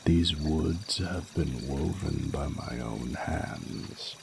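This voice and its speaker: Ancient male dragon lover, played by a man: rumbling bottom-register voice, gravelly, regal and flirtatious, formal archaic delivery over trickling water. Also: background noise, full character voice. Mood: proud, serene. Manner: formal archaic phrasing, slow deliberate pauses, seductive. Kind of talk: romantic audio roleplay, intimate monologue to the listener.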